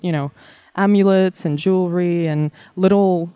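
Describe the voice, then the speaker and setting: A woman in her thirties from Kazakhstan, telling animated stories about her childhood as a guest in an interview. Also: lowish voice, a little slow, clean tone, no echo. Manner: warm, animated storyteller with frequent laughs and fillers. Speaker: guest artist. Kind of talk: casual interview storytelling.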